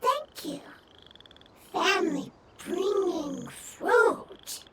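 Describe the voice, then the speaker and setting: A woman voicing a small, timid game creature that speaks in halting, broken fragments, worried and earnest. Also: very high voice, animated, very noisy, exaggerated voice. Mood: grateful. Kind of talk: video game voice acting. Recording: game music behind, slightly distant.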